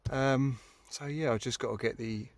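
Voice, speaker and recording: A man's voice: medium pitch, steady tone, moderate pace, a little hiss or hum. A British man chatting casually through a DIY job in a car, rambling with wry, self-mocking grumbles. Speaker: hobbyist filming a car radio install. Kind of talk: casual DIY vlog chat. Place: inside a car.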